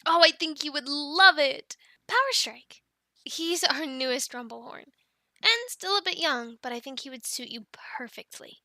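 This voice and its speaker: A woman's voice: high-pitched, moderate pace, sharp tone, no echo. A woman playing a friendly, encouraging dragon keeper in an ASMR roleplay, chatting playfully with the listener and cooing to animals like pets. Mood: chatty, helpful.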